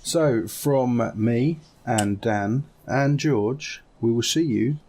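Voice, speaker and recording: Guy hosting a gaming podcast, casual chatty delivery, average pitch. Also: very slow, a little mellow, a little hiss or hum. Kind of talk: casual chat.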